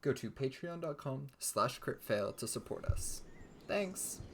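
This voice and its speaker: A man with a husky, gravelly voice delivering a podcast intro and appeal for listener support as a cast member. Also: medium pitch, expressive, a little slow, noisy background.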